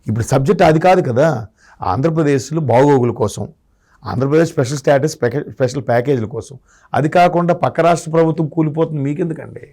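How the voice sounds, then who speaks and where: A man's voice: fairly high pitch, fast, warm tone. Man arguing politics. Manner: argumentative, asks rhetorical questions. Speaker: political commentator. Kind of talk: political discussion.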